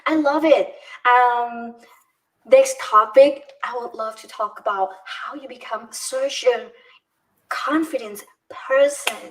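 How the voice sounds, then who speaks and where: A woman's voice: a little high, unhurried, low-quality recording. A woman in her thirties hosting a casual live-stream chat over a video call, laughing often as she talks. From Cambodia. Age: 30s. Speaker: host.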